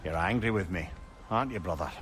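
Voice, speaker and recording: An actor voicing a clever, remorseful old advisor in a video game, with an earnest, confiding way of talking. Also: male voice, sits low, fast talker, fairly bright tone. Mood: remorseful, gentle. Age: older.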